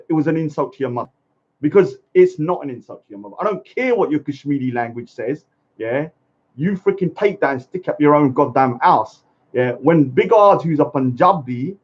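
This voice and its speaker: A man delivering a blunt, combative ranting monologue, repeatedly saying "let me say something" and checking with "yeah?". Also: fairly high pitch. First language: English. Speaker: speaker's corner vlogger. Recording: dull lo-fi sound.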